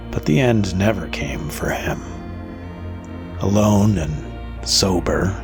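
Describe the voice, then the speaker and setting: An actor playing a grave storyteller, narrating a bleak scripted tale in a deliberate, literary manner. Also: male voice, sits low, full character voice.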